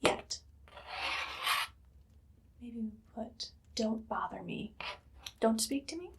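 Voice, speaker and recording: A woman playing an evil, menacing queen with dry humor in a soft, whispered ASMR roleplay, giving slow commands. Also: on the high side, lively intonation, vocal fry, husky, full character voice. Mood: dryly menacing.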